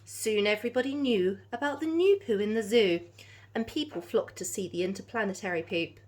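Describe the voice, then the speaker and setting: A woman's voice: medium pitch, brisk, darkish tone. A woman reading a children's story aloud, like a teacher addressing young listeners.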